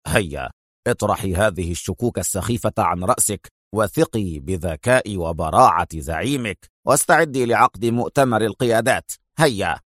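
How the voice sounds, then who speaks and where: A man's voice: low-pitched, brisk, no echo, clean studio sound, voice pushed down, natural voice. A man voicing a stern, commanding spy chief in an audio story, with a firm, curt, self-assured delivery.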